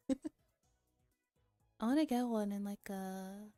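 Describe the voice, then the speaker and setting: Soft, mellow, whispery ASMR woman. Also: mid-range voice, slow, no room sound, clean studio sound, faint music behind. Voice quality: soft, breathy, often whispering. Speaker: streamer. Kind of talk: ASMR visual novel read-aloud with casual chat.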